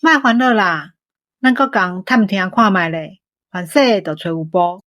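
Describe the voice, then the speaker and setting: A woman acts an easygoing female adventurer in a radio play. She is decisive and reassuring, with a medium pitch and a dry studio sound. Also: a little slow, fairly bright tone, no echo, natural voice. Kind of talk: Taiwanese-language radio drama acting.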